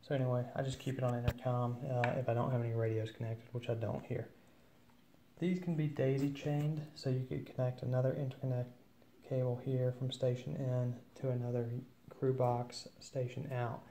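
A man giving an informal, matter-of-fact instructional walkthrough of equipment, with hesitant pauses. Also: medium pitch, level tone, dark tone, slight background noise. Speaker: presenter of an equipment demo. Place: indoors at a wooden table at home.

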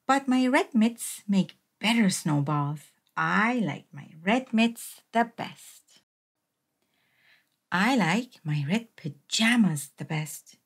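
A woman reading a children's picture book aloud as a storyteller, in a deliberate, simple manner. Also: mid-range voice, expressive. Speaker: read-aloud storyteller and host.

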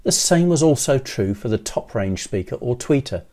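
An older man in his sixties giving scripted how-to narration in a measured, methodical way, as a presenter. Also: medium pitch, animated, dark tone. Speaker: presenter of an electronics how-to video.

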